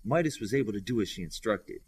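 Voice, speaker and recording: A man's voice: lowish voice, expressive, fast talker, husky. A man reading scripted narration from a superhero novel as an audiobook reader.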